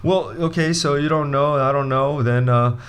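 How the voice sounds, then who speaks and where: Young guy coach, lively, loose, talks straight to camera, mid-pitched voice. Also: normal speed, clear, resonant tone. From Brazil. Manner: animated, restarts sentences, jokey asides. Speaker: MBA admissions coach. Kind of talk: casual video lesson.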